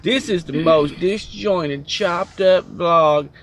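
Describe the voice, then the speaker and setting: A man's voice: high voice, slow pace, fairly bright tone. A man chatting casually as a trucking vlogger, rambling and joking as he tells stories from a truck cab. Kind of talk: casual chat.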